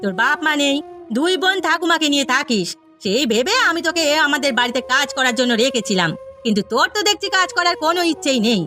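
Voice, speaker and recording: A woman voicing a stern middle-aged village housewife in a cartoon, with a sharp, imperious, scolding manner. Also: sits high, lively intonation, fast, faint music behind. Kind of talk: Bengali cartoon story voice acting.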